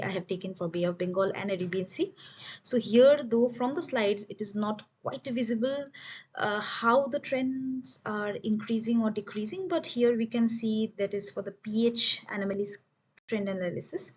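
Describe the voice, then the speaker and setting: Husky woman giving an academic presentation. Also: mid-range voice, darkish tone. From India. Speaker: student researcher presenting a study. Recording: dull, lo-fi voice recording.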